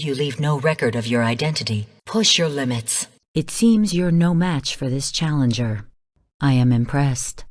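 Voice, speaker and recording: A woman voicing a calm, calculating artificial intelligence in a video game, speaking formally and with measured, cool command. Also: rumbling low, slightly rough, long reverb tail, heavily acted. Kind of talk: scripted video game voice lines.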